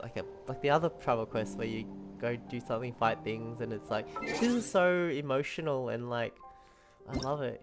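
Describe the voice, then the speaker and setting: A male streamer gives casual live reactions to a game. He talks in a gushing, emotionally invested way at a medium pitch, with game music clearly audible behind him.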